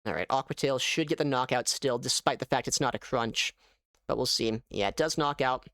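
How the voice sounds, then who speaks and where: A man giving casual live gameplay commentary as a streamer, thinking aloud in an animated way. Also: medium pitch, moderate pace, no echo, studio quality. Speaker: gaming streamer.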